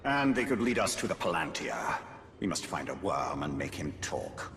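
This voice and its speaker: An actor playing a grim, calculating warrior in a video game cutscene. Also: male voice, low-pitched, very animated, normal speed, distant mic, crowd noise. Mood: cold, grim.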